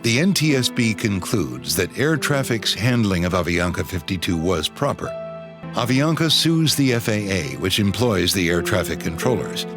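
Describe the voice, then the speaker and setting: Low-voiced male documentary narrator over music. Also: very animated, normal speed. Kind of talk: scripted dramatic narration. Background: dramatic music bed.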